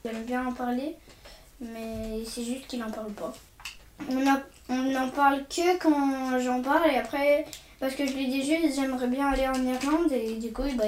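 A woman's voice: high voice, darkish tone. A teenage girl answering interview questions hesitantly. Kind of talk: casual spoken testimony. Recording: echoey room, faint noise.

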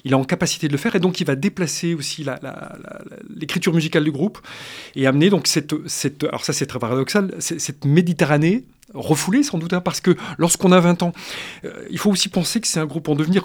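A man explaining music history in a radio discussion, halting with many restarts and fillers but analytical. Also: fairly high pitch. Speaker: guest expert on music history.

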